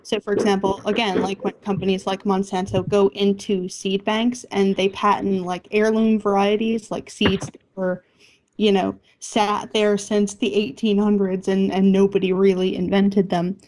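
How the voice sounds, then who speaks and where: Female discussion participant, medium pitch, conversational and tentative, posing questions with small hedging asides. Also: level tone, moderate pace, darkish tone. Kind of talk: casual spoken discussion.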